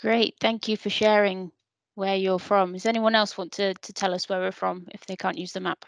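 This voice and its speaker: A woman hosts an online session over a video call, encouraging people to join in. Her voice has a gravelly, creaky edge. The sound is dry and close. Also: mid-range voice, fairly even intonation, moderate pace, no room sound. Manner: polite, encouraging, repeats words as she talks. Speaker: online event host. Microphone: computer mic on a video call.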